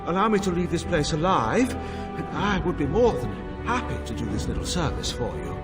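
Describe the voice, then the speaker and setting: An actor playing a slippery, grandiose mage villain in a video game, pleading theatrically. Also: male voice, fairly high pitch, very animated, moderate pace. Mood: desperate bargaining. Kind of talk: video game cutscene acting. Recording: distant, reverberant hall under music.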